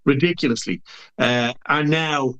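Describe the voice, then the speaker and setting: A man's voice: mid-range voice, steady tone, measured pace, fairly bright tone, lo-fi sound. Irish man giving long political commentary. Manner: long explanations full of uh fillers. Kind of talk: guest on a talk show.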